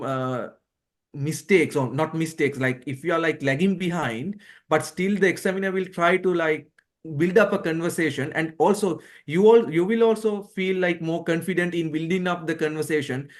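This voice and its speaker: A man who is a non-native English speaker, answering interview questions as a guest doctor, rephrasing hesitantly as he explains. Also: fairly high pitch. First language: not English. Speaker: guest, doctor who passed a surgical exam.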